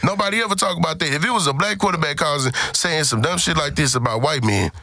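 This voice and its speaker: A man's voice: fairly high pitch, fast talker, vocal fry, pushed and bright, no room sound. An American man co-hosting a podcast, debating sports casually in an opinionated, profane way and repeating phrases for emphasis. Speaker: podcast co-host.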